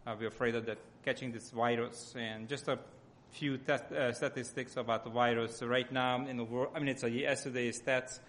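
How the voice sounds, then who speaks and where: Man, Hungarian accent, medium pitch, lay preacher, informal sermon, halting delivery, faintly noisy recording. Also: fairly even intonation, fairly bright tone. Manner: halting, searching for words. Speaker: lay church speaker.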